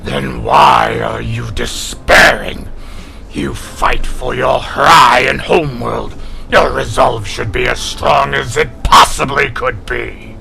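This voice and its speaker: A man's voice: mid-range voice, faint music behind, heavily acted, rough recording. A man playing a scornful alien cat warrior in a sci-fi audio drama, with a growling voice and a haughty, taunting manner. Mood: fierce taunting. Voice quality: growling, gravelly. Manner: haughty, taunting, slow and forceful. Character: gruff, scornful male catlike alien warrior.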